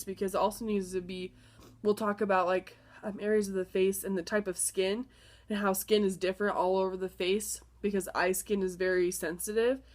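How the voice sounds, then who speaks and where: A young woman with a stuffed-up nose from a cold, casually talking through a lesson plan with many fillers and restarts. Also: mid-range voice. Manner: chatty, filler-heavy, apologetic restarts. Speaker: student presenting a lesson plan. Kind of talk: casual spoken presentation.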